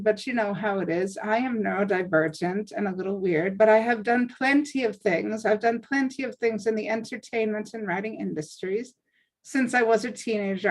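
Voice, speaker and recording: A woman talking about herself casually, in an earnest, assertive way. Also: medium pitch. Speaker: actress and aspiring voice actor introducing herself. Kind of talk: casual self-promotion in an online group.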